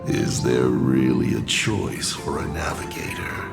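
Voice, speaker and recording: An actor playing a sly, theatrical masked trickster who poses as a father, wry and gravelly, in a video game. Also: rumbling low, unhurried, music in the background, full character voice. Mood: solemn, inviting. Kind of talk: dramatic video game dialogue.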